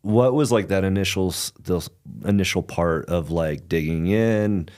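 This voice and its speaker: A man's voice: deep voice, normal speed, a little mellow. A man hosting an interview, affirming his guest and asking loose, rambling follow-up questions. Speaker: podcast host. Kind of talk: casual interview chat.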